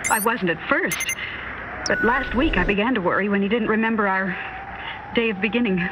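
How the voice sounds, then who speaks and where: An American actress playing an astronaut's worried, earnest wife in a vintage radio drama. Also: female voice, mid-range voice, a little fast, natural voice. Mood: wistful, worried. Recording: noisy, muffled vintage radio recording.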